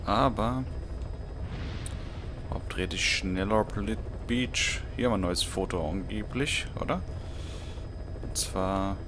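A man's voice: on the low side, slow, pauses often, background noise. A man hosting a let's play with casual, rambling commentary, half talking to himself. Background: video game sounds.

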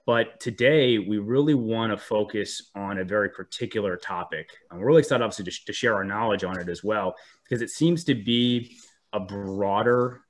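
A man's voice: fairly low pitch, moderate pace. A man with a cold, sounding a bit sniffly, presenting a webinar over a video call with frequent ums and restarts. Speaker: 3D printing specialist presenting a webinar.

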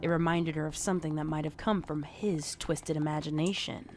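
A man's voice: on the high side, steady tone, brisk, faint music behind. A man reading scripted, dramatic narration of a horror story as its narrator. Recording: clear background noise and ambience.

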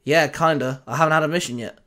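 A man's voice: a little high, quick pace, bright, forceful tone. A man voicing an eager young male hero. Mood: casual. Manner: earnest, self-encouraging.

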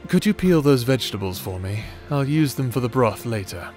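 A man's voice: mid-range voice, animated, fairly quick, a little mellow, faint music behind, close to an everyday voice. A man playing an affectionate, playfully wicked necromancer husband in a theatrical, tender ASMR roleplay. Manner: tender, teasing, theatrical, often chuckling. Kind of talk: ASMR romantic roleplay audio drama.

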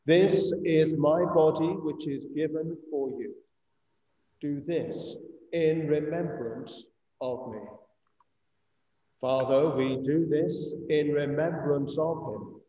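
A middle-aged English man recites a communion prayer as a priest, solemn and deliberate. His voice is fairly high and mellow. It rings through a heavily echoing church. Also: slight background noise. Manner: solemn, measured, deliberate phrasing. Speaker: priest leading communion service. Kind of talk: liturgical recitation of a eucharistic prayer. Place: inside a church, streamed over video call. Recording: echoey church, distant mic, muffled video-call sound.

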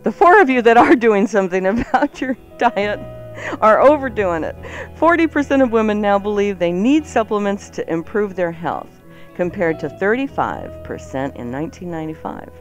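Lively radio co-host with swooping pitch. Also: moderate pace, faint music behind. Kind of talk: chatty, joking radio banter and item reading.

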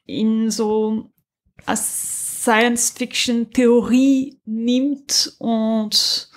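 A woman with a slightly high voice co-hosting a podcast about audio dramas. She chats casually and slows down to lay out the ideas behind a story she recommends. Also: flat delivery. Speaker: podcast co-host. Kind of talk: casual chat.

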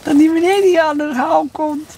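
Female companion voice, high, brief simple remarks, slightly off-mic under very loud road noise. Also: steady tone, moderate pace, distant mic. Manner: short, simple phrases. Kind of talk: casual chat. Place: passenger seat of a car, also out in town. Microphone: vlog camera, off to the side.

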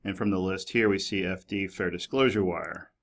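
Male presenter, 40s, lowish pitch, informal explanatory tutorial delivery, conversational. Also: moderate pace. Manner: conversational, informal, hedging with "basically" and "kinda". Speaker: university librarian presenter. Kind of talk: screencast tutorial, casual explanatory talk. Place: small office, indoors.

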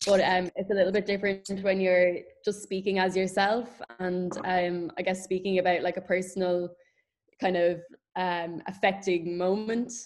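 A woman's voice: on the low side, flat delivery, normal speed, darkish tone. A young woman in her twenties, an actor, sharing casually on a video call, hedging with likes and ums. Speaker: actor, festival participant.

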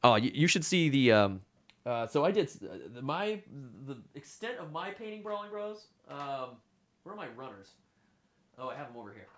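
Excitable man co-hosting a game stream. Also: a little high, moderate pace. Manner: excitable, chatty, laughs often. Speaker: co-host of a board game stream. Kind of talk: casual live-stream chat. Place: home room. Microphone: webcam video call.

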